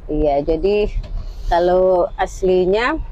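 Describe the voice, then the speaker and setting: A woman's voice: on the low side, takes it slowly, mellow. An older woman from North Sumatra telling her family history in a casual chat with her daughter, in a meandering storytelling way. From North Sumatra, Indonesia. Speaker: interviewee, mother of the host. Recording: slightly dull lo-fi sound.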